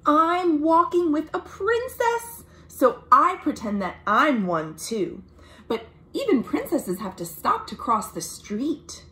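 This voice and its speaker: A woman reads a picture book aloud for young children. Her voice sits fairly high and swings widely in pitch. She takes her time, phrasing each line expressively and clearly for little listeners. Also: sing-song, takes it slowly, sharp tone, poor audio. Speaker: children's librarian and storytime host. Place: small room at home, indoors.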